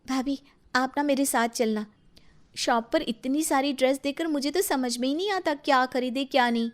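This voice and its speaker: Sweet young daughter-in-law for a cartoon tale, played by a female narrator: high, girlish, pleading, chatty. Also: moderate pace, soft background music, natural voice. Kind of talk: animated moral story character voice.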